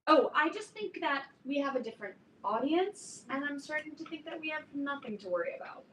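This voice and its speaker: A woman in a staged reading playing an opinionated, excitable teenage fan club girl, chatty and emphatic. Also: high-pitched, brisk. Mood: exasperated, amused. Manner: chatty, emphatic, peppered with like and literally. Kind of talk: staged reading of a play, naturalistic dialogue. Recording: roomy, distant mic, faint noise.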